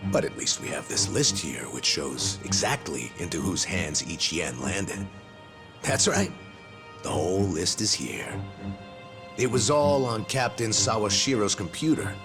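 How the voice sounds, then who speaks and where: An actor playing a sardonic, determined older disgraced cop in a video game drama, with a folksy, taunting way of talking. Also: male voice, deep voice. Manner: taunting, folksy, drawn-out delivery. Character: gruff, sardonic older discharged male cop, smug and determined.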